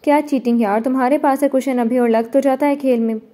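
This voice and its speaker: A woman's voice: high-pitched, steady tone, a little fast, dark tone, lightly acted. Woman reading as a cocky teasing young man. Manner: smug, teasing.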